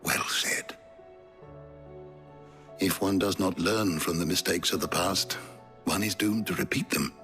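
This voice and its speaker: An actor playing an erudite older scholar in a video game, with a raspy voice and a measured, eloquent manner. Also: male voice, deep voice, steady tone, bright, forceful tone, faint music behind, exaggerated voice. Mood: solemn, hopeful. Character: erudite older male scholar, solemn and sardonic.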